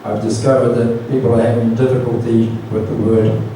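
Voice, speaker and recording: A man with a New Zealand accent gives an informal talk as a Buddhist monk, hesitant and gently self-deprecating, with light humour. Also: medium pitch, little pitch movement, a little fast. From Auckland, New Zealand. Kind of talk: informal Dhamma talk to an audience. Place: community centre hall. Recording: distant mic, very echoey room, noisy.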